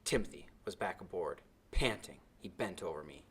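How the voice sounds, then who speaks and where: A man narrating a storybook aloud in a dramatic, expressive way. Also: low voice, normal speed, slightly rough. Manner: dramatic, animated.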